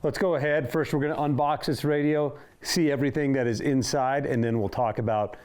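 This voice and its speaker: A man's voice: mid-range voice, steady tone, brisk, darkish tone, clear, resonant tone. A man giving a casual, hands-on product walkthrough in an informal way, talking as he handles items. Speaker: product reviewer for a radio shop.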